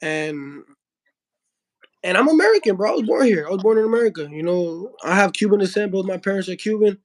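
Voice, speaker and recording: A man's voice: high voice, animated, a little fast. A young man from Miami who spoke Spanish first, a heavyweight boxer talking casually in an interview, saying bro a lot and restarting his sentences. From Miami, Florida. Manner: slangy, says bro a lot, frequent restarts.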